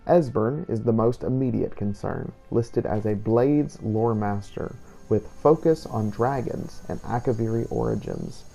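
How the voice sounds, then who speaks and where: A man narrating a game playthrough as a scripted first-person story, in a measured storytelling delivery. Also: fairly low pitch, vocal fry, warm tone, faint music behind, rough recording.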